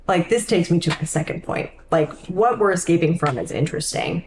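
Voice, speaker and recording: A woman with a slightly low voice talks casually as a podcast co-host. She reasons out loud in an informal way. There is faint noise in the background. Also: fairly quick, poor audio. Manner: informal, thinking aloud. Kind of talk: casual book discussion.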